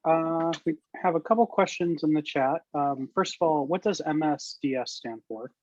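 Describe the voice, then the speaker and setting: A man's voice: fairly high pitch, normal speed. Man reading questions on a dull mic. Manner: relaxed, reads audience questions. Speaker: webinar host. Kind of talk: moderating Q&A.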